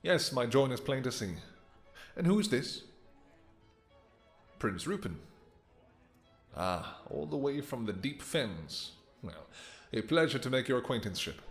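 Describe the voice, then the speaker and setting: A man's voice: mid-range voice, big pitch swings, measured pace, long pauses, faint music behind, voice pushed up. A man voicing a doting, possessive, brooding vampire king who speaks in formal, archaic, theatrical phrases in a romantic fantasy audio roleplay. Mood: haughty, menacing.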